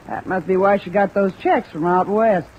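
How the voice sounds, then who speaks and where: A woman's voice: mid-range voice, lively intonation, unhurried, highs cut off, close to an everyday voice. An actress playing a brassy, cagey small-town hotel desk woman in an old-time radio drama, with slangy, familiar talk. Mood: musing, familiar. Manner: folksy slangy familiarity, evasive. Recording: old radio recording under rain noise.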